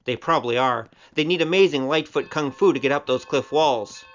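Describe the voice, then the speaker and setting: A man's voice: medium pitch, brisk, fairly bright tone, highs cut off, close to an everyday voice. A man playing an easygoing, teasing gambler hero with a flippant manner in an audio drama. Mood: earnest, determined.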